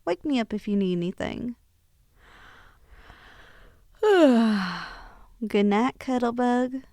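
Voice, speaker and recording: A woman acting a sweet, bashful young woman in an ASMR roleplay, speaking softly and hesitantly with affection. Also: on the high side, animated, drawn-out words, dry recording, studio quality. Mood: tired, grateful. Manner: soft, hesitant, affectionate, sighing. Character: sweet, bashful young woman shrunk tiny, tenderly confessing feelings.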